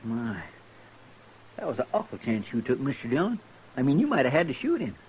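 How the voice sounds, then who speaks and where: An actor playing an earnest, deferential marshal's helper with a rural American accent, folksy and anxious, in 1950s western radio style. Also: male voice, medium pitch, big pitch swings, a little fast, darkish tone, close to an everyday voice. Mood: worried, puzzled. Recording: noisy old radio recording with clicks.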